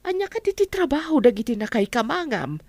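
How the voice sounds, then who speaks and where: A woman playing a talkative, indignant village woman in an Ilocano radio drama, animated and exclaiming. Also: high-pitched, fairly quick, no echo, exaggerated voice. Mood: offended.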